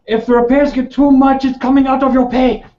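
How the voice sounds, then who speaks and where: A man's voice: very high voice, little pitch movement, fairly quick. A man playing a tabletop roleplaying game, speaking casually about what his character does. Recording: roomy, dull lo-fi voice chat.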